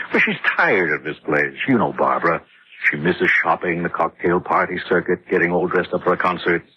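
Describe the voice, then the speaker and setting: Low, very raspy husband narrating radio horror, rationalizing everything, muffled old recording. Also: male voice, expressive, normal speed, heavily acted. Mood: defensive, concerned. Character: defensive rationalizing husband recounting a disaster camp horror. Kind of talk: dramatic first-person radio horror narration and dialogue.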